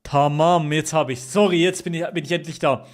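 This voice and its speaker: A man's voice: a little high, fairly even intonation, brisk. A young man from Switzerland, streaming live and chatting casually with his viewers, jokey, repeating himself and teasing the chat.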